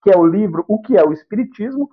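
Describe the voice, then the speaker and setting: A man's voice: sits high, animated, quick pace, husky. A man from the of São state, giving a spiritist lecture and explaining points by repeating and rephrasing them. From interior of São Paulo state, Brazil. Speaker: guest lecturer. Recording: dull lo-fi audio.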